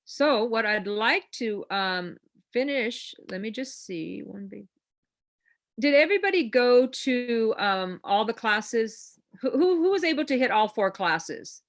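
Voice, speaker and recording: A woman's voice: a little high, big pitch swings, unhurried. Chatty, encouraging woman coach. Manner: chatty, encouraging, rambling with fillers. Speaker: class host, real estate trainer. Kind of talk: informal coaching talk.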